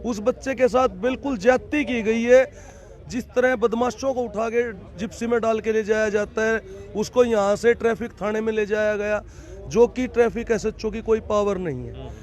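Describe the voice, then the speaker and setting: Indignant man speaking outdoors, high voice. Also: moderate pace, a little mellow. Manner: indignant, emphatic, repetitive. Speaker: protest organizer. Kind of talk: impassioned protest speech to reporter. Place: outdoors at a protest.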